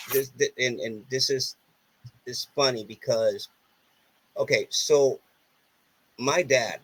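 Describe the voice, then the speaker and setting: Husky-voiced Puerto Rican man. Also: mid-range voice, poor audio. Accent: Puerto Rican Spanish. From Puerto Rico. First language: Spanish. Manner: earnest, repeats himself, many restarts. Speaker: podcast guest. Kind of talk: casual interview storytelling.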